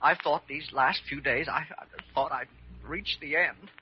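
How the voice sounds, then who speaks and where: An actor playing an anxious, grateful male hypochondriac in a radio drama, with a halting, fervent delivery. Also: on the high side, slight background noise, close to an everyday voice. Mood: overwhelmed gratitude. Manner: halting, fervent, repeats words. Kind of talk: 1940s-50s radio drama acting. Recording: muffled vintage radio recording.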